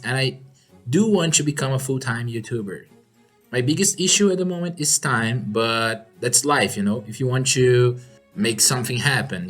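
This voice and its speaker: A man's voice: medium pitch, fairly bright tone. A man from Brazil whose first language is Portuguese, speaking English with a Brazilian Portuguese accent as he chats through viewer questions in an upbeat way. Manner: chatty and upbeat, laughs often. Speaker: gaming YouTuber answering viewer questions. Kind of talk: casual Q&A chat.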